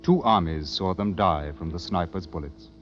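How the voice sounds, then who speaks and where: An actor playing a grave, measured war correspondent narrating an old radio drama. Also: male voice, sits low, fairly bright tone. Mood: sombre. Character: sombre British war correspondent recalling a battle. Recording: dull 1940s radio recording with music.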